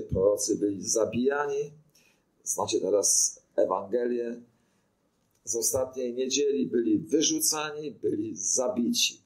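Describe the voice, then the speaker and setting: A man's voice: medium pitch, rough recording. An older man, a priest, giving an informal teaching talk into a handheld mic, telling anecdotes and putting rhetorical questions to his listeners. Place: small studio in front of a brick wall. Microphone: handheld microphone.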